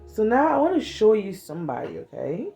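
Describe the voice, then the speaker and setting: A woman in her thirties from Cameroon, talking frankly to a phone camera in a small bedroom, animated and swearing freely as she speaks about healing from trauma. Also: medium pitch, brisk, vocal fry, soft background music. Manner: frank and animated, swearing freely, acts out little quoted lines. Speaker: vlogger. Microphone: phone camera at arm's length.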